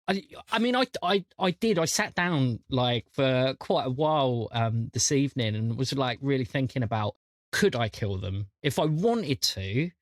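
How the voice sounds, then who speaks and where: A man chatting casually as a podcast host and game master, often repeating words as he thinks out loud. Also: mid-range voice, no echo, studio quality.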